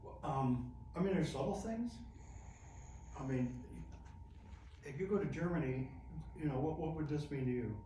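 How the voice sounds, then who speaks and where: A man's voice: a little high, normal speed, pauses often, warm tone. A middle-aged man, a military veteran being interviewed, telling stories in a chatty way and laughing often. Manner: chatty, laughs often, restarts mid-phrase. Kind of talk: casual storytelling interview. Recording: echoey room, distant mic, noisy.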